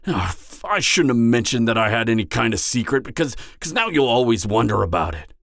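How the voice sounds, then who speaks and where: A man's voice: medium pitch, very animated, fairly quick, fairly bright tone, no room sound, studio quality. A man playing an anxious, awkward, slightly drunk part-giant mercenary in an intimate fantasy audio roleplay, hesitant and stammering. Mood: troubled, conflicted. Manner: hesitant, stammering, trailing off, sighing.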